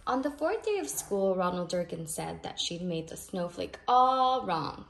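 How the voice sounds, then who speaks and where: A woman's voice: lowish voice, very animated, measured pace, gravelly. A woman reading a children's picture book aloud expressively, stretching key phrases with emphasis. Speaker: storybook reader. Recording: noisy home recording with clicks.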